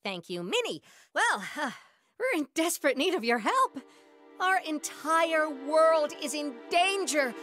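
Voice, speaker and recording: A woman's voice: high-pitched, very animated, a little slow, fairly bright tone, faint music behind, full character voice. A woman voicing a small, polite, bumbling creature chief in a game, ceremonious and awkwardly apologetic. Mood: pleading, admiring. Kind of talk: animated video game cutscene acting.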